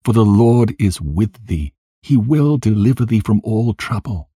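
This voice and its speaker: A man's voice: fairly low pitch, animated, measured pace, warm tone, slightly rough, dry recording, clean studio sound, voice pushed up. A man reading scripture dramatically as a dead mother's spirit, grieving, tender and prayerful as she consoles her child. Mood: sorrowful comfort. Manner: weeping, praying, tender.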